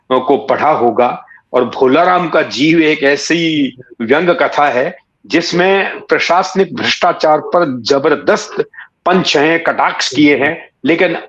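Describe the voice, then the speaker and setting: A man in his sixties answering in a reflective interview, explaining in literary words and pausing mid-sentence to find the next one. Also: fairly high pitch, a bit bright, lo-fi sound. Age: 60s. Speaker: interview guest, satirist and writer.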